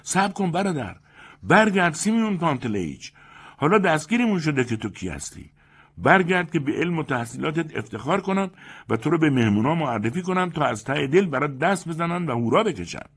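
A man voicing a pompous, fawning male groomsman who talks in a shrill, blustering way. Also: big pitch swings, a bit bright, husky, full character voice. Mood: flustered pleading.

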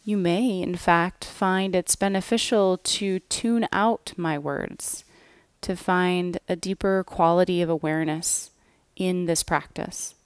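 A woman leads a guided relaxation at a very slow, unhurried pace. Her voice sits on the low side and her phrases end softly. The sound is close and dry, with very little room around it. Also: steady tone, clean tone, no echo. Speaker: yoga nidra guide. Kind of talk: guided relaxation meditation, scripted.

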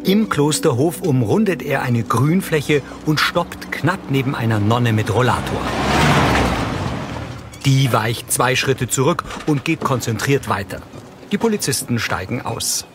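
Guy narrating audio description, neutral and plain, mid pitch, loud music underneath. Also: animated, unhurried. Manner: neutral, matter-of-fact.

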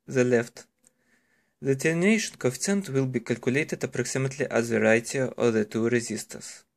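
Slow-speaking man. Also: medium pitch. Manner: halting, careful phrasing in simple non-native English. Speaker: electronics hobbyist giving a tutorial. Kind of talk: instructional narration, step-by-step explanation. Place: indoors at a desk, hands-on demonstration behind the camera.

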